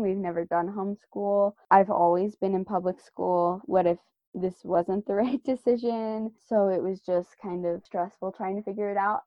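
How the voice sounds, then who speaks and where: A woman's voice: medium pitch, dry recording. Earnest woman, dry, dull-sounding recording. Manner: earnest, personal storytelling. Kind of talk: casual faith discussion.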